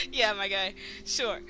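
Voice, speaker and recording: Slow teasing woman streamer. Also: mid-range voice, bright, forceful tone. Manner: teasing, laughing. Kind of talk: casual game commentary.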